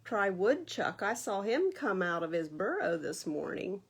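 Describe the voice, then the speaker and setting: Woman reading as a helpful, expressive storybook squirrel. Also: medium pitch, measured pace, breathy edge, exaggerated voice. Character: helpful, plain-spoken woodland squirrel. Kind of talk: children's picture-book read-aloud.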